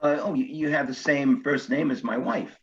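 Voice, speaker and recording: Actor as refined, awkward older widower in stage comedy; mid-range voice, hesitant and earnest, slightly dull recording. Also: male voice, mid-range voice, steady tone, moderate pace, lightly acted. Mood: awkward, explaining. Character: nervous, cultured older widower on a virtual date. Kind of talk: one-act stage comedy acting. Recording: dull, lo-fi sound.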